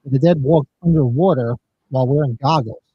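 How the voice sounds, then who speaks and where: A man's voice: mid-range voice, fairly quick, slightly rough, no room sound, highs cut off. A man in his fifties chatting casually on a movie watch-along, joking bluntly about age and kids. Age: 50s. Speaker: podcast co-host.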